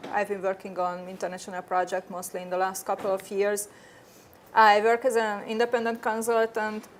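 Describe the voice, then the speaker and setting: A woman's voice: mid-range voice, a little hiss or hum. Hungarian-accented woman giving a tech talk. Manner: earnest, frequent restarts and fillers. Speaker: conference speaker. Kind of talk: technical conference talk.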